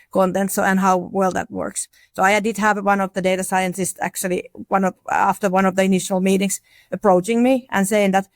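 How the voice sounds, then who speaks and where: A woman's voice: mid-range voice, gravelly, no room sound, clean studio sound. A woman speaking on a conference panel, frank and self-assured, often restarting her phrases.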